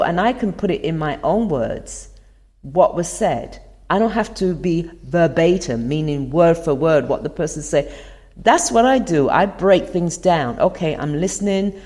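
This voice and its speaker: Low-voiced woman giving earnest life advice. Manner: earnest, expressive, lingers on key words. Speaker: podcast host. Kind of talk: motivational talk over video clips.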